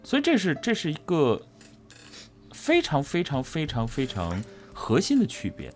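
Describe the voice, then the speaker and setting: Male interviewee, voice actor. Animated, emphatic, explanatory, conversational, with faint music underneath. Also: a little high, moderate pace. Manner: emphatic, repeats phrases for stress. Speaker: voice actor interviewed about his character. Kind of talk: casual explanatory interview answer.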